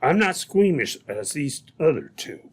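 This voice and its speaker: Man acting a husky, bullying outlaw. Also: on the high side, sing-song, measured pace, heavily acted. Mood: menacing, curt. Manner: bossy, threatening. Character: menacing male gold robber, gruff and impatient. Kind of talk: old-time radio adventure acting.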